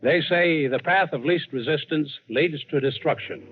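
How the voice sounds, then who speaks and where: An actor playing an adventurer host, narrating a scripted yarn in an old-time radio drama. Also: male voice, on the high side, moderate pace, close to an everyday voice. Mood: storytelling. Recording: tinny old radio recording. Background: dramatic underscore music.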